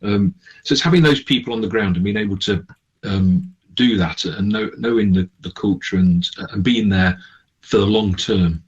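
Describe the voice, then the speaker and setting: On a somewhat dull recording, a man answers as an interview guest. His voice is fairly low and a little husky, and he explains in a conversational, halting way. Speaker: interview guest, charity manager.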